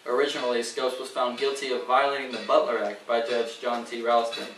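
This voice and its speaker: A man's voice: mid-range voice, flat delivery, a little fast, a bit bright, natural voice. A man portrays a solemn male 1920s radio correspondent with scripted, formal delivery. He has a mid-range voice, heard away from the mic in an echoey room with faint noise. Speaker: news reporter. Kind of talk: scripted newscast reading, amateur period skit. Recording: roomy, distant, faintly noisy.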